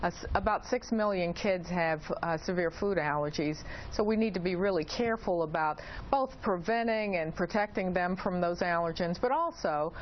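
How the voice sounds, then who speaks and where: A woman speaking as an expert guest in an interview, explaining in a hesitant way with frequent pauses. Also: on the low side. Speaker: expert guest, principal investigator. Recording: dull lo-fi audio, clearly noisy.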